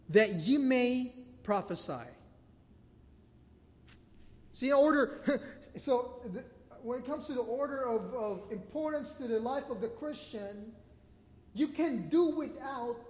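A middle-aged man with a Filipino accent preaching a sermon as pastor, animated and laughing often between points. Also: very high-pitched. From Philippines. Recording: long hall reverb.

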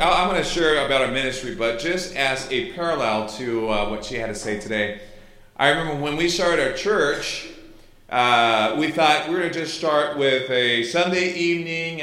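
A middle-aged man from Chile with a Chilean Spanish accent, a native Spanish speaker, giving an informal sermon and testimony as a missionary pastor, halting and correcting himself as he goes. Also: on the high side, sharp tone. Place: church hall during a service. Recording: echoey room sound.

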